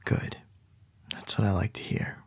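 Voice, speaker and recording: A man voicing a commanding male CEO boss in an ASMR roleplay, speaking in soft, measured whispers. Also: dry recording, lightly acted.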